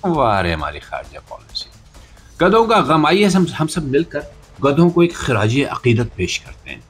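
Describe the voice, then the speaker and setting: Animated Pakistani man doing satirical commentary. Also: a little high, measured pace, husky, soft background music. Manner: sarcastic, theatrical, rhetorical delivery. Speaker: podcast host. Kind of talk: satirical news commentary monologue.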